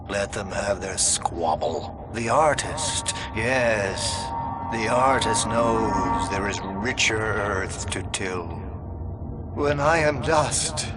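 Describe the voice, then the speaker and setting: An actor, a man, voicing a vain, volatile, deranged artist in a video game, theatrical and grandiose. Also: mid-range voice.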